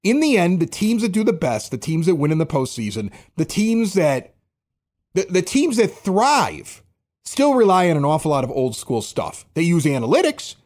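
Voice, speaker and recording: A man's voice: on the high side, sing-song, normal speed, a little mellow. A man hosting a sports podcast, ranting in a fired-up, defensive, emphatic way. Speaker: podcast host. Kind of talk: heated podcast rant.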